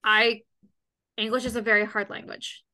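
A woman hosting a casual interview, chatty and expressive, often repeating words as she starts a thought. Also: on the high side, moderate pace. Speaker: host.

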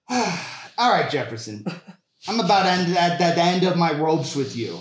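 A man's voice: a little high, lively intonation, measured pace, a crowd in the background. A man voicing a crabby, fed-up archaeology boss with folksy turns of phrase in a comedy sketch table read. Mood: grumpy, fed up. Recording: roomy, echoing podcast recording.

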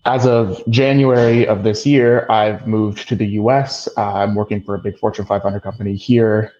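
A man's voice: lowish voice, steady tone, moderate pace, a little mellow. A man in his twenties from Canada calling in to an advice show, polite and orderly with some fillers. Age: 20s. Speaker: caller. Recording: dull lo-fi phone call.

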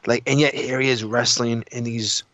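A man's voice: medium pitch, steady tone, moderate pace, bright, forceful tone, no echo. A man co-hosting a podcast, chatting informally in a rambling, sweary storytelling way. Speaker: podcast co-host. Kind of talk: casual chat, storytelling.

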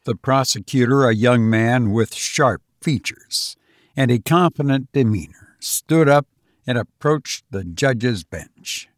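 A man reading scripted audiobook narration in a measured, deliberate manner. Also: medium pitch, no echo, clean studio sound.

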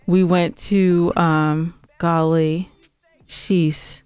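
Low-voiced, smooth American woman podcaster. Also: little pitch movement, extremely slow, a little mellow, dry recording. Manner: emphatic, argumentative, dramatizing complaints. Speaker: podcast co-host. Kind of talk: casual, bantering podcast chat.

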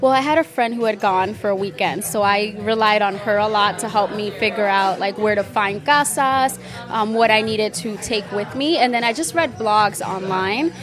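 A woman with a Dominican accent recounts her travels in a relaxed, chatty way. She speaks frankly as a podcast guest. Music plays in the background of the bar. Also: mid-range voice, moderate pace. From Dominican Republic. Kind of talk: casual storytelling.

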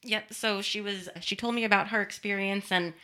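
A woman's voice: medium pitch, steady tone, moderate pace, sharp tone. A woman producing a podcast, telling a story in a chatty, casual way and laughing easily.

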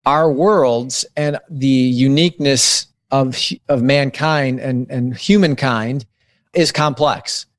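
A man in his late thirties speaks in a mid-range voice, thinking aloud and restarting phrases as he explains his ideas. The recording is close and dry, with little sense of the room. He sounds like a guest in a relaxed podcast conversation. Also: mid-range voice, slow pace, dry recording. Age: 30s. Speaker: guest author on digital wellness.

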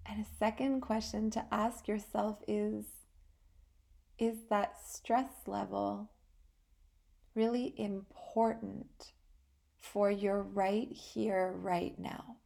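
A woman hosting a guided relaxation meditation, speaking calmly and soothingly in short phrases with long pauses. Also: mid-range voice, gravelly. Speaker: guided meditation podcast host.

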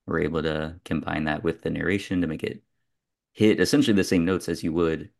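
Deep, gravelly male podcast host. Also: level tone, fairly quick, a little mellow. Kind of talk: casual film discussion among friends.

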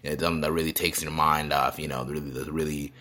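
A man's voice: a bass voice, flat delivery, very fast, bright, forceful tone. A man in his twenties hosting a podcast, talking in an earnest, reflective way with many fillers. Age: 20s. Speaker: podcast host and rapper. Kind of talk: casual chat.

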